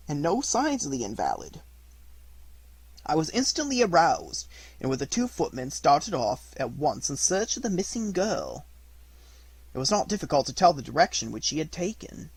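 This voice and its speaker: Husky, high-pitched man reading a story aloud. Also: no room sound, poor audio. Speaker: amateur audiobook reader. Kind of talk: scripted narration of a classic detective story.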